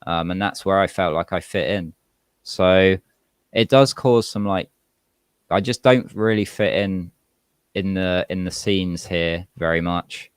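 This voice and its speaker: A man's voice: low-pitched, unhurried, clean tone, no room sound, clean studio sound. A man with a British accent and a slight American twang, chatting casually and reflectively as an interview guest. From England, UK. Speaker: rapper, podcast guest.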